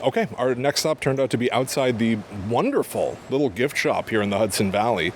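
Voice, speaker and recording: Male podcast co-host, mid pitch, casual and slangy sightseeing chat, jokey enthusiasm, noisy outdoor recording. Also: a little fast. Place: outdoors on location near traffic.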